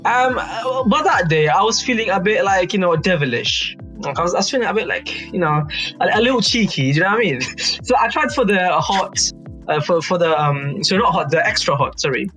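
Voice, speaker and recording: A young British man casually telling an anecdote to friends in animated slang, repeating himself and checking that they follow. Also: high-pitched, fairly quick, soft background music, poor audio. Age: young adult. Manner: animated slangy storytelling, repeats himself, seeks agreement with tags. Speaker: youth advisory board member on a podcast.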